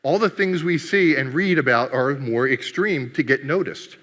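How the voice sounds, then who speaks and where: Guy doing a funny keynote, greek is his first language, bright forceful voice, big hall echo. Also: medium pitch, normal speed. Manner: playful, joking with the audience. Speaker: conference speaker. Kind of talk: humorous keynote talk. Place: conference hall. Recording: long hall reverb.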